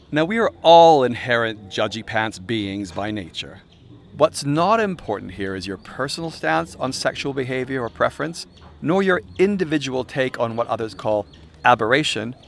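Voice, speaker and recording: A man's voice: medium pitch, vocal fry, slight background noise. A man reading his own self-help audiobook in a wry, irreverent, conversational narrating style, slipping into chatty asides. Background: music soundscape.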